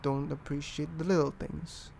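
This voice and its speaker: A young man from Indonesia with an altered British accent, talking softly and rambling in a boyfriend ASMR roleplay. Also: on the high side, level tone, normal speed, vocal fry, slight background noise. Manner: soft, rambling, self-deprecating.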